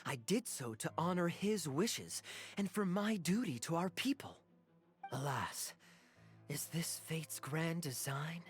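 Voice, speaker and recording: An actor playing a suave young swordsman in a fantasy game, with a grandiose, measured, theatrical delivery. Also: a little high, lively intonation, soft background music. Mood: solemn, anguished. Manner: grandiose, measured, dramatic pauses. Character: suave young swordsman acting a noble tragic stage prince. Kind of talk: theatrical stage-play declamation in a fantasy video game.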